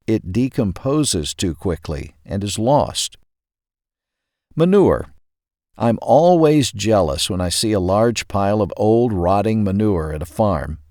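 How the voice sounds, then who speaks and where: A man reading a scripted magazine article aloud in a measured, clearly emphasized narrator's delivery. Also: on the low side, a little mellow, no room sound, studio quality.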